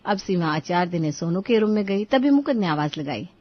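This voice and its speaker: A woman's voice: lowish voice, expressive, fairly quick, no room sound, muffled recording. A woman narrating a scripted moral story as a storyteller.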